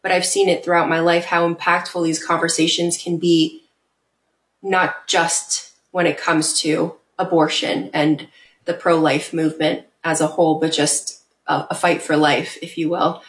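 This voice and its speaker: Low-pitched woman in an echoey room. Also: flat delivery, measured pace. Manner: earnest, reflective, with fillers. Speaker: guest. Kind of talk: casual interview chat.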